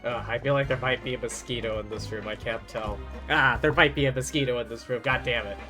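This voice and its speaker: A man gives casual reactions as he livestreams a game. His voice is mid-pitched and bright, and he drops offhand asides. There is faint music in the background. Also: quick pace.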